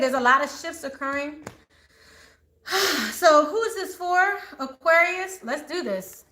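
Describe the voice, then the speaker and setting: A woman's voice: high-pitched, bright, forceful tone. A woman reading tarot cards live, chatty, laughing a lot and talking straight to her viewers. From born in Texas, raised in the Maryland and DC area. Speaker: tarot reader. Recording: echoey room with faint noise.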